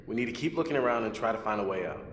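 A man's voice: on the low side, very fast, natural voice. An actor playing a cautious, practical young man who plans an escape in a video game. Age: young adult. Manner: calm, practical. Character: cautious steady young man on a ghost ship. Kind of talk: English game cutscene dialogue. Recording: reverberant hold with ambient noise.

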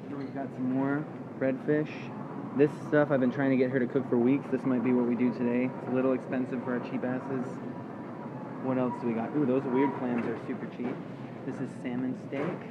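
A man's voice: medium pitch, level tone, fairly quick. A young man giving a chatty, joking walking tour of a supermarket fish aisle, filmed on a handheld camera. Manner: chatty, offhand, jokey. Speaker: vlogger. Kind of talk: casual walking tour commentary. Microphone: handheld camera held close. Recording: dull lo-fi camera audio with loud store noise. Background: loud supermarket hum and bustle.

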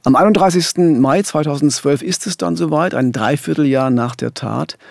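A man's voice: a little high, normal speed, vocal fry, no echo. A middle-aged man narrates and explains as a true-crime podcast host, close to a studio microphone. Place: small dark podcast studio. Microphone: close studio microphone with headphones.

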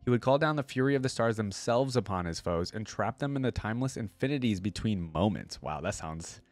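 A young man reading lore aloud and commenting casually, with expressive reading and conversational asides, close to a desk microphone. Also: lowish voice, brisk, no echo, faint music behind. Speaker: reaction video host. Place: indoor streaming setup. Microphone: close desk microphone on a boom arm.

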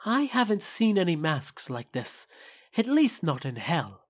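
A performer playing a regal, grave demon prince in an animated fan audio drama, formal, haughty and measured. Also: medium pitch. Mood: composed.

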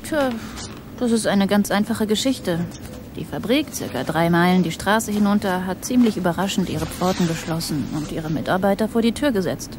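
An actress playing a guarded village shopkeeper in a German radio play, dry, curt and matter-of-fact. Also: female voice, mid-range voice, lively intonation, normal speed, background noise, close to an everyday voice. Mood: resigned, guarded.

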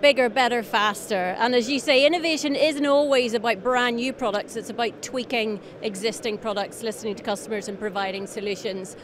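A woman's voice: on the high side, brisk, few pauses, slight background noise. A woman in her thirties presenting an on-location trade show interview with a handheld microphone. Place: busy exhibition hall.

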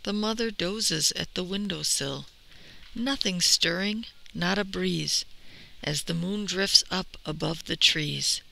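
A woman's voice: on the low side, slow pace, gravelly, rough recording. A middle-aged woman, a teacher, reading a picture book aloud expressively to children. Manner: expressive, addressing young children. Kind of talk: picture-book read-aloud and talk to pupils.

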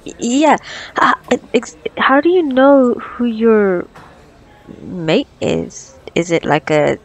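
A woman playing a flustered, curious doctor on a date in an ASMR roleplay, stammering nervously and haltingly. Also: a little high. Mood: hesitant, curious. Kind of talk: ASMR roleplay audio drama.

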